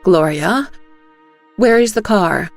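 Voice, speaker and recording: A woman's voice: mid-range voice, animated, takes it slowly, no echo, faint music behind. A woman voicing a tense, resolute woman covering up a crime in an audio drama, speaking with controlled urgency. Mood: pressing, frustrated. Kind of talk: audio drama character acting.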